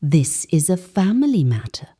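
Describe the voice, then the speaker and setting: A woman's voice: fairly low pitch, big pitch swings, normal speed, dark tone, husky. A woman storyteller voicing a cruel, arrogant grown son who is self-righteous and domineering, in a folktale. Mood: arrogant defiance.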